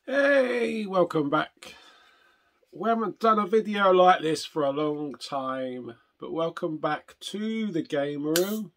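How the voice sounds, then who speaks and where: Older guy, hoarse from a chesty cough, slow rambly chat, keeps losing his thread. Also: a little high, lively intonation, background noise. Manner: rambling, self-interrupting, chatty. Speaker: retro gaming channel host. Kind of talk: casual vlog chat.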